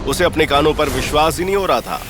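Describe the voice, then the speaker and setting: A man's voice: a little high, quick pace. A man giving dramatic scripted narration of a story. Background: background music.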